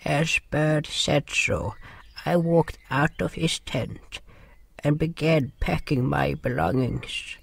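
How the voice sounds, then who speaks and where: A man playing a gentle, sorrowful creature who narrates an audio drama in a deliberate, solemn way. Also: a little high, gravelly, dry recording, heavily acted. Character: gentle, sorrowful non-human narrator River, caring companion.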